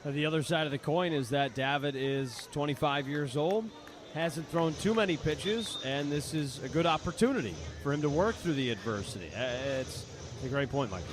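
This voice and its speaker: A man's voice: a little high, animated, normal speed. A man giving live, conversational baseball analysis as a color commentator, often repeating words as he reasons. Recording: faint outdoor ambient noise behind. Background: outdoor ballpark ambience.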